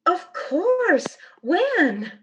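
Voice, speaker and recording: Very high-pitched, tender, worried wife. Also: female voice, big pitch swings, drawn-out words, muffled recording, full character voice. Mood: affectionate. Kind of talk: old-time radio drama acting.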